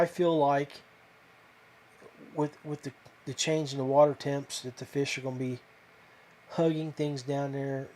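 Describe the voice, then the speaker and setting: A man hosting a podcast, talking casually and off the cuff to viewers, with hesitant pauses and a modest, self-deprecating manner. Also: medium pitch, a little mellow, a little hiss or hum. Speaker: podcast host. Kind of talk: casual fishing talk to viewers.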